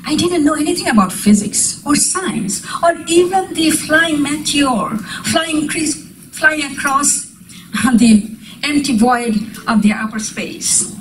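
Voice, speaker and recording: High-voiced woman lecturing from far off. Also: lively intonation, takes it slowly, noisy background. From Bangladesh. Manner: storytelling, wondering tone, breaks into light laughs. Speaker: guest speaker. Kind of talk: lecture with poetry reading. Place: community meeting room. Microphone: handheld microphone.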